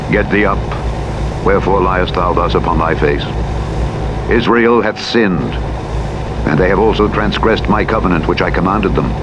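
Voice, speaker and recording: A man narrating the Bible in a solemn, measured, formal audiobook style. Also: lo-fi sound. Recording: loud vehicle engine noise throughout. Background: rumbling truck engine from a driving simulator.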